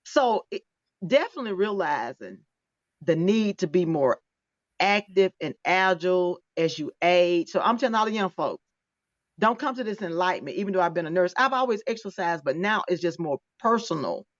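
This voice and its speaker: A woman's voice: on the low side, expressive, moderate pace, no echo. Chatty woman in her fifties on a dull tablet mic. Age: 50s. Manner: chatty, laughing, restarts often. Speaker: podcast host. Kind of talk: casual interview chat.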